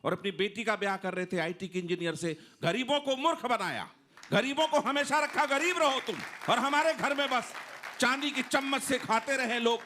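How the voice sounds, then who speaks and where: An Indian man speaks as a party spokesperson on a debate show. His voice is high and sharp, and he drives his points home with emphatic, repetitive rhetoric. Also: moderate pace, some chatter behind, slight background noise. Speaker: political spokesperson on debate panel. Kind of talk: partisan TV debate speech.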